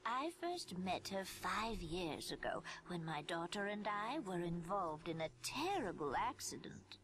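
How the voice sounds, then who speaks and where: A blind old granny from a video game, calm and wistful. She speaks slowly and softly, with kindly, measured phrasing. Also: lowish voice, a little hiss or hum. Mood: sorrowful.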